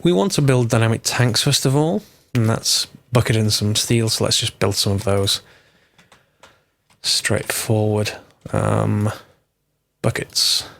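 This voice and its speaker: A man, slightly hoarse from a cold, giving a casual game tutorial and thinking aloud as he corrects himself. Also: on the low side, takes it slowly, long pauses, fairly bright tone.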